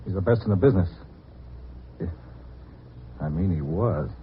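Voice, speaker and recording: Soothing circus barker, very low and raspy, subdued, vintage radio play. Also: male voice, animated, normal speed, heavily acted. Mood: matter-of-fact. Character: placating male circus barker, a performer's husband. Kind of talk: old-time radio drama acting. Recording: dull lo-fi old radio recording.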